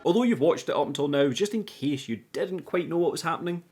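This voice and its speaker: A man's voice: a little high, lively intonation, fast talker, a little mellow, soft background music. Cheeky British man recapping a film. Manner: cheeky, sarcastic, sweary. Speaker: film reviewer, channel host. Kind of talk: scripted recap narration with casual sweary commentary.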